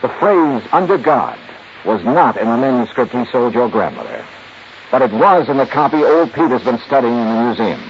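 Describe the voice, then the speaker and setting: A man's voice: medium pitch, sing-song, normal speed. An American man acting a shrewd, hard-boiled investigator in a 1950s radio drama, with a clipped, confident, sardonic delivery. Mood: accusing, confident. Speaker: lead actor and narrator. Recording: muffled, noisy 1950s radio recording.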